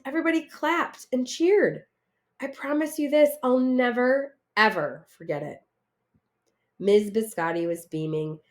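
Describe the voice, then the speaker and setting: Animated woman reading a storybook. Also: fairly high pitch. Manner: expressive, dramatic delivery for children. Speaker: teacher reading a picture book aloud. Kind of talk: animated storybook read-aloud.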